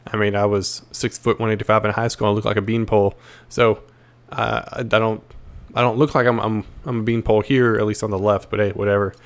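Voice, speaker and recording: A man's voice: fairly low pitch, little pitch movement, fairly quick. A man hosting a gaming video, thinking aloud in easygoing, casual commentary.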